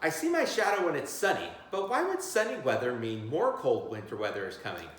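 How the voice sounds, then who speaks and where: A man's voice: mid-range voice, very animated, a little fast, natural voice. A man voicing eager, exclamatory young groundhog pupils from a picture book. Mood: puzzled. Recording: very echoey, roomy sound.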